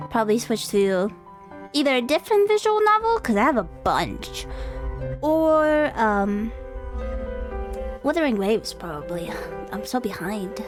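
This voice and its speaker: A young woman live streaming a game, chatting casually and hesitantly, rambling as if talking to herself. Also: fairly high pitch. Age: young adult.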